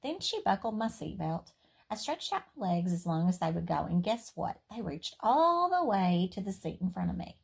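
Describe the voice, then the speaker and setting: A woman reading a children's story aloud in an expressive, playful, emphatic way. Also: medium pitch, moderate pace, creaky, husky.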